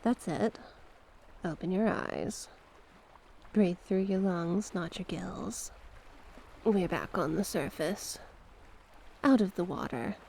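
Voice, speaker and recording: A hushed, breathy sea witch played by a woman in an ASMR roleplay, seductive and sinister. Her voice is mellow with a gravelly creak, and she coaxes slowly with doting endearments. Noticeable ambient noise sits behind her. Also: fairly low pitch. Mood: doting, teasing. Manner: slow, coaxing, affectionate endearments.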